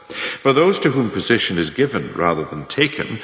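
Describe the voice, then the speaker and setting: A man in a radio play voicing a scheming, self-assured management mentor who lectures formally with deliberate, emphatic phrasing. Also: lowish voice, big pitch swings, fairly bright tone. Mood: measured, cunning, instructive. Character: scheming, self-assured male management mentor dispensing cynical career lessons. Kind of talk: scripted dramatic monologue, like a lecture of numbered lessons. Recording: very echoey, long hall-like reverb.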